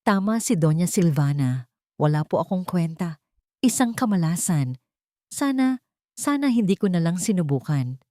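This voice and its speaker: A woman's voice: on the low side, very animated, normal speed, pauses often, warm tone, no echo, studio quality. A woman voicing a defeated young woman in a narrated story, sobbing, hesitant and blaming herself. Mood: despairing, tearful. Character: tearful, defeated young woman pleading to her aunt.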